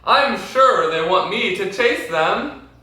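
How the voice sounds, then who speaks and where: A man puts on a big, good-natured storybook creature with a puzzled, friendly manner. The delivery is unhurried and swings widely in pitch. It sounds distant, in a very echoey room. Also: lively intonation, bright, forceful tone, exaggerated voice. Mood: jolly excitement.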